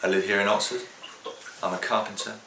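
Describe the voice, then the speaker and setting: Gravelly, wry man in his fifties. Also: on the low side, steady tone, brisk. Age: 50s. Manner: wry, self-deprecating. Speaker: carpenter. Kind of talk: documentary interview. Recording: echoey, distant, noisy room.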